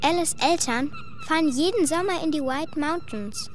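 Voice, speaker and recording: A little girl with a high, childlike voice, blunt and dreamy in a radio play. She is insistent, and outdoor noise with birds and horns is clearly heard around her. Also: expressive, moderate pace. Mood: wishful, insistent. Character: eager, wilful little daughter, blunt and dreamy. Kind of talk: radio drama acting.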